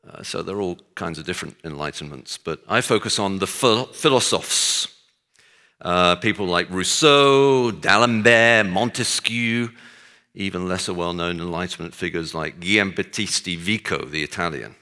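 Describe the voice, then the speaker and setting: A man's voice: deep voice, moderate pace, sharp tone. A middle-aged man with a Texan accent, giving a speech to an audience as a guest speaker, with dry self-deprecating humor and frequent hesitations. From Texas. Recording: long hall reverb.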